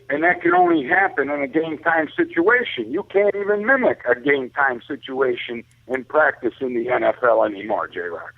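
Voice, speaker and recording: A man's voice: fairly high pitch, vocal fry, no echo. Male sports radio caller, thin dry telephone sound, mid-range voice, chatty and colloquial. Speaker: call-in guest on sports talk show. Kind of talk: casual sports talk. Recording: narrow, tinny phone line.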